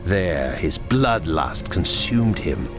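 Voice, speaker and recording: An actor playing a stern, blunt ally in a fantasy video game, speaking with weighty scorn. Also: male voice, low-pitched, very animated, slow pace, exaggerated voice. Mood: scornful, grim. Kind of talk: video game cutscene acting. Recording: loud music behind.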